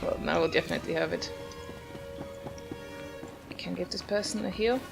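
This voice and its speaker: Woman gamer commentating over loud game music. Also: on the low side, normal speed, vocal fry. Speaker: player commentating on own gameplay. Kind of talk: casual gameplay commentary.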